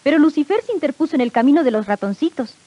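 A woman's voice: high voice, animated, rapid-fire, muffled recording. A woman reading a scripted children's storybook as narrator, on a vintage record with music.

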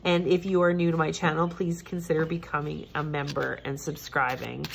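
Low-voiced congested woman reading tarot. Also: flat delivery, normal speed, vocal fry, noisy background. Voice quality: nasal. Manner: gentle, repetitive, reassuring. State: congested, stuffed-up nose. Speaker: tarot reader. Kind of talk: casual tarot reading monologue. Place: home, at a table.

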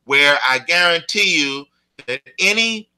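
Man with a sharp, forceful voice. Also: on the high side, expressive, clear, resonant tone, low-quality recording. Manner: explanatory, pauses to build long points. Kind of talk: spoken commentary on identity.

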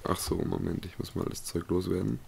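Gravelly low-voiced young man gaming. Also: level tone, brisk, a little mellow, slight background noise. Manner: swears often, startled yelps. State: slightly ill, coughing. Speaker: let's play gamer. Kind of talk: casual gameplay commentary.

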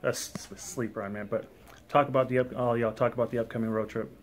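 Male interviewer, brisk casual questions, rapid delivery, mid-pitched voice. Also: steady tone. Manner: quick, casual questioning with restarts. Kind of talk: post-game interview questions.

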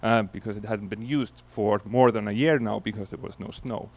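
A man in his forties from Iceland with an Icelandic accent, giving a relaxed conference keynote into a handheld mic, telling stories with many fillers and restarts. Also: on the low side, moderate pace. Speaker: keynote speaker. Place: large conference hall on stage. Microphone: handheld microphone.

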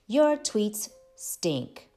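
Picture-book frog, played by a woman: male, stubborn, touchy, childish taunts, very animated pitch. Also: very slow, faint music behind. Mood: indignant, taunting. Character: indignant, stubborn male frog, easily offended.

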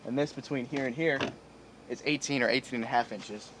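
A man's voice: mid-range voice, a little fast, a bit bright. An American man in his thirties explains things casually to camera as a vlog host, outdoors on a boat. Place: outdoors on a sailboat in a boatyard. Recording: outdoor recording with ambient noise.

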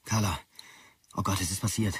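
A man's voice: sits low, fairly even intonation, fairly quick, lightly acted. An actor playing a jaded, edgy man with a terse, grumbling way of talking in a sci-fi audio drama. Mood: anxious. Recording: distant voice, clear background noise.

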